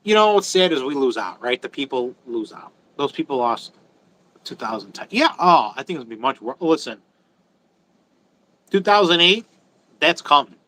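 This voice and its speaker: Bright-voiced, emphatic man on a livestream. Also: medium pitch, sing-song, normal speed, long pauses, rough recording. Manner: emphatic, repeats phrases for stress; blunt, frequently swearing. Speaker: livestream host answering viewer comments. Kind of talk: casual, rambling opinion talk to viewers.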